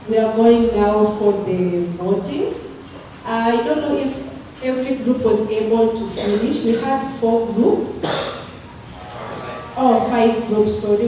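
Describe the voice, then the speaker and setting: A woman guides a workshop group in a relaxed, rambling manner, filling gaps with small fillers. She speaks slowly in a slightly raised voice. The sound is distant and booming, with a long hall echo and steady room noise. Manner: relaxed, loose, with many fillers and light laughs. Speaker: workshop facilitator. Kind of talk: leading a workshop session. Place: large indoor meeting room. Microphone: distant room mic. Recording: echoey hall recorded from far off with background noise.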